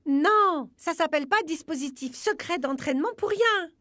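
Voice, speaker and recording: Very high, excitable cartoon football-fan kid. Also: female voice, moderate pace, no echo, studio quality, heavily acted. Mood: bossy. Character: excitable young football fan kid named Fran, cheeky and eager. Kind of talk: animated cartoon dubbing. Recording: music under the voice.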